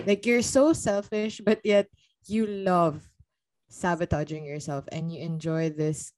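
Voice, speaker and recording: A woman's voice: fairly low pitch, dark tone, poor audio. A young woman co-hosting a podcast, giving exasperated, opinionated takes in a casual book discussion. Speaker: podcast co-host.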